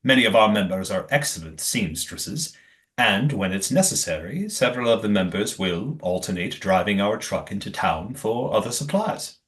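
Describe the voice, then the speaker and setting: A man playing a calm, secretive leader of a commune in an audio play, speaking in a formal, measured, evasive way. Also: fairly low pitch. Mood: guarded, matter-of-fact.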